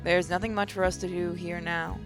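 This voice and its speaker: A woman hosting a gaming channel, reading visual novel narration aloud as expressive first-person storytelling. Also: fairly low pitch, a little fast, music in the background.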